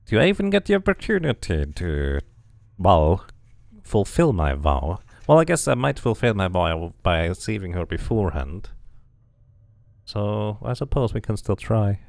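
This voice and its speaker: Slow, gravelly male game streamer. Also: fairly low pitch, big pitch swings, darkish tone, no room sound. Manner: musing, thinking aloud. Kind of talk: casual playthrough commentary.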